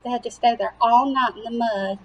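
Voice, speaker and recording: A woman reading a picture book aloud to young children with animated emphasis and simple explanatory asides. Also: on the high side, a little fast, muffled recording, slight background noise. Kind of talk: slow, expressive children's read-aloud.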